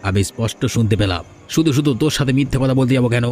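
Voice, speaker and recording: A man voicing a grumbling village man in a cartoon fairy tale, speaking in deliberate dialogue. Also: mid-range voice, a little mellow, faint music behind, close to an everyday voice. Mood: scheming, wary. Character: male village thief and weary elder brother, grumbling.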